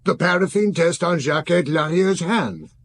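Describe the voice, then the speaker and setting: A man's voice: fairly high pitch, moderate pace, no room sound. A man playing a businesslike, deferential police forensic officer in a radio drama, reporting in a respectful, matter-of-fact way. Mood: grave.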